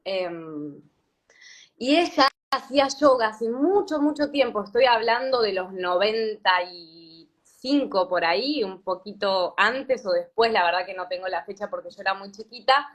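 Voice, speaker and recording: A woman in her thirties with an Argentine Spanish accent, from Patagonia, telling her story as a guest in an interview, thoughtful and pausing often with fillers. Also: medium pitch, lively intonation, fairly bright tone. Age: 30s. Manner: thoughtful, many fillers and restarts. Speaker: yoga teacher, guest. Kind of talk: reflective interview talk.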